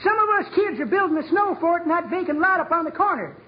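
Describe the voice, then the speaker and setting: Old-timer: folksy, eager as a kid, very high voice, lively delivery, old radio comedy. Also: male voice, fast talker. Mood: eager, playful. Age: older. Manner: folksy rustic drawl, chuckling storyteller. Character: folksy, playful old man, childlike and eager. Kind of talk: 1940s radio comedy acting. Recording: noisy, muffled old 1940s radio recording.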